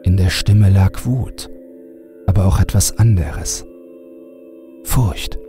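A man narrating a scripted horror story in a measured, ominous manner. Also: deep voice, music in the background. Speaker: audiobook narrator.

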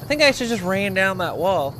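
Hoarse woman chatting through a game stream. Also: medium pitch, sing-song, fast, noisy background. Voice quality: throat-clearing, slightly hoarse. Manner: chatty, talks to herself while playing. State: losing her voice. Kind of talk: casual gameplay commentary.

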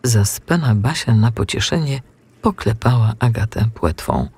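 A man narrating a scripted children's audiobook in an expressive, measured storytelling manner. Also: mid-range voice, husky.

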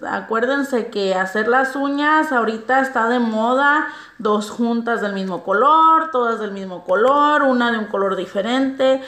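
A young woman with a slightly high voice talks viewers through her nail work at home. Her Spanish is not her first language, and her manner is chatty and friendly, calling her audience girls. The sound comes from a phone over her hands. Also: fairly bright tone. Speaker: nail artist. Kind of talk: nail tutorial narration. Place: home nail table, dogs barking outside.

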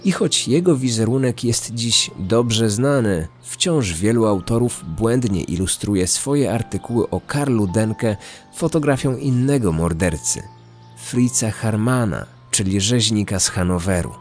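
Podcast narrator: male, fairly low register, scripted crime storytelling, faint music underneath. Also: animated, measured pace, a little mellow. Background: background music.

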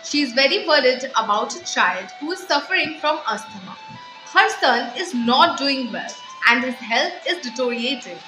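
Lady narrating a story, high lively voice, echoey. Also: moderate pace. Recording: echoey, music behind.

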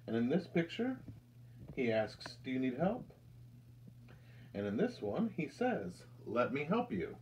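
An American man in his thirties reads a picture book aloud to children with deliberate, expressive storytelling delivery. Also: medium pitch, a little hiss or hum, poor audio. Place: indoors, small room with curtain backdrop.

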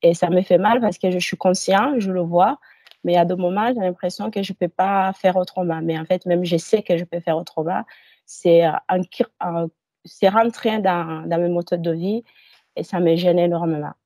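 A woman's voice: on the low side, fairly even intonation, moderate pace, warm tone, dry recording. A woman in her thirties, a hypnosis client on a video call, telling her personal story hesitantly with many fillers.

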